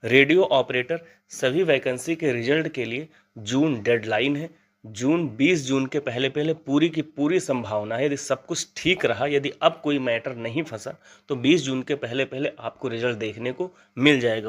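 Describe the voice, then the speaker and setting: A man hosting an exam update channel, explaining things informally and earnestly to viewers, advising them and repeating points for emphasis. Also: mid-range voice.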